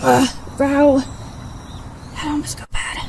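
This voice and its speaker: A woman's voice: high voice, little pitch movement, vocal fry, a little mellow, whispered, heavily acted. A woman playing a young superheroine in an audio drama, earnest and hesitant, with a self-doubting air. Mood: shaken relief. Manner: hesitant, earnest, slow delivery.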